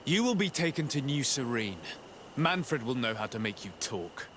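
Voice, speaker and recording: A man's voice: medium pitch, big pitch swings, normal speed, a bit bright, slight background noise. An actor playing a formal, commanding male noble legate in a video game. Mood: stern.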